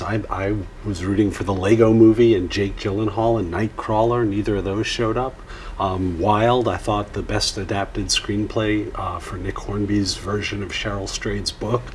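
A man in his fifties giving conversational commentary as a film critic, thinking aloud haltingly. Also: lowish voice, fairly even intonation, slight background noise. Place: TV studio.

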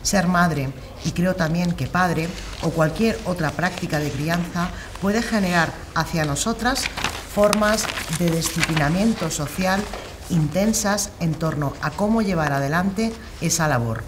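A middle-aged woman reading an essay aloud in a steady, plain manner in a large hall. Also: fairly low pitch, a little slow, background noise. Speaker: reader in a shared reading group. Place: large hall, seated on the floor.

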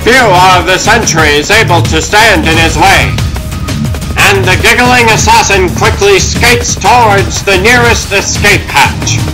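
A man's voice: high-pitched, a little slow, music in the background, low-quality recording. A man delivering dramatic scripted narration as the narrator of a comic-book dub.

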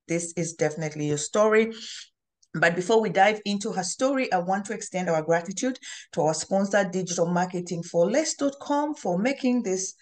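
A woman's voice: lowish voice, darkish tone. A woman hosting an interview show, giving an upbeat, polished introduction over a video call. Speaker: host. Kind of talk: scripted talk-show introduction.

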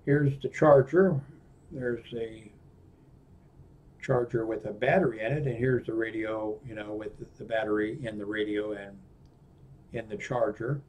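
Male presenter, 60s or older, American. Slightly low pitch, unscripted demo delivery, halting and meandering. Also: fairly even intonation, a little mellow. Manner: halting, rambling, often trailing off. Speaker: presenter of a radio equipment review. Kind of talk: unscripted product walkthrough. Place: small indoor room at a desk.

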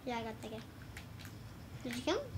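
A woman's voice: a little high, sing-song, a little fast. Casually swearing woman chatting and joking while playing a shooter split-screen on a TV, filmed by phone. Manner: swears, jokes and laughs a lot. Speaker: gamer filming split-screen play. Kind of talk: casual gaming chat. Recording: noisy room recording.

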